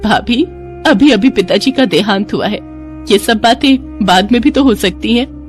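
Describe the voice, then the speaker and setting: A woman voicing a gentle, dutiful village wife in a moral story, mild and questioning. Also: on the high side, moderate pace, soft background music, lightly acted. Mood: pleading.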